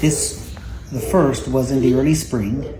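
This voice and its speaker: A parent reading a children's Bible story aloud at home, gently and a little haltingly, repeating words now and then. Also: medium pitch, crowd noise, poor audio. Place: indoors at home. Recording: home recording with faint background noise.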